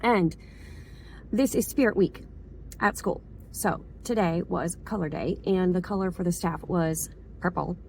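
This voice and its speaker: A woman with a hoarse voice from a cold, chatting in a casual, rambling vlog as she circles back to restate things. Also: fairly low pitch, unhurried, darkish tone, slight background noise. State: hoarse from a cold, slight sore throat. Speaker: vlogger, school staff member.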